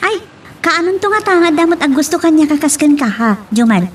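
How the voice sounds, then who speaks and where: An Ilocano woman acting a village woman in a romance drama, with an expressive, questioning manner. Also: sits high, fairly quick. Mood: concerned.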